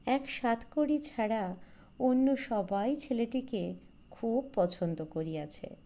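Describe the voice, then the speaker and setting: A woman narrates a literary story at a slow, measured pace. Her voice is mellow and mid-pitched, with expressive phrasing. The recording is slightly dull. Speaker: audiobook narrator.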